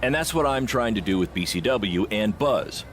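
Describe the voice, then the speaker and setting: An actor playing a confident, persuasive small-time wrestling promoter in scripted game dialogue. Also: male voice, fairly low pitch, animated, moderate pace, a bit bright.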